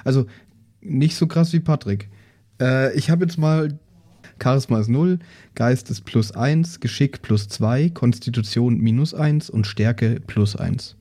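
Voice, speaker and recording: A man's voice: medium pitch, a little slow, warm tone. A man talking casually during a tabletop role-playing game.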